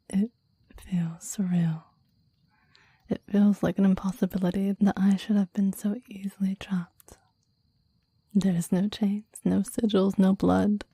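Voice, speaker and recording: Girl doing a clingy demon lover asmr, slow breathy whispers, super mellow, reverent n yearning, kinda old-fashioned formal. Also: mid-range voice, no echo. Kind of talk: ASMR romantic roleplay. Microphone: close microphone, dry.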